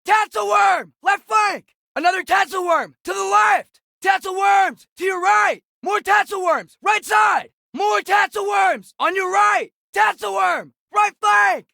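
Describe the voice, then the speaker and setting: A man's voice: very high-pitched, measured pace, gravelly, few pauses, bright, forceful tone, no room sound, shouting, clean studio sound, exaggerated voice. A young male actor playing an alert teenage boy warrior in a video game, giving short, urgent combat callouts.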